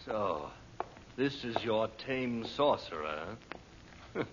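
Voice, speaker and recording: An actor playing a sardonic, jumpy henchman in a radio thriller, mocking and excitable in a melodramatic style. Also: on the low side, slow pace. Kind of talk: radio serial melodrama acting. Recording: muffled, noisy old radio recording.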